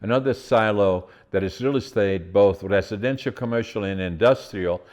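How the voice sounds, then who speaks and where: A Dutch-accented man hosting a podcast, his voice sitting low. He talks in a loose, rambling way, often stopping to restart a sentence. English is his second language. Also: moderate pace, a little mellow. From Netherlands. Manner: rambling, repeats words when restarting. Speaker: podcast host. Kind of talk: casual interview conversation.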